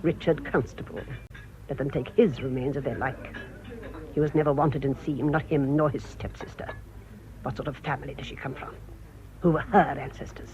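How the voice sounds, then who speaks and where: A woman's voice: rumbling low, fairly even intonation, moderate pace, darkish tone. A woman playing a haughty British village spinster in a radio drama, imperious, emphatic and accusing. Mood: scornful. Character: indignant, haughty village spinster, proud of her lineage. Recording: muffled radio drama recording.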